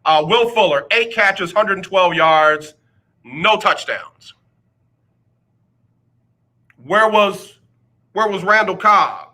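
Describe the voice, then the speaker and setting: Animated radio host, bright voice. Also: male voice, high voice, slow. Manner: animated, teasing, rhetorical questions to listeners. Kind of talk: casual sports talk radio monologue. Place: radio studio.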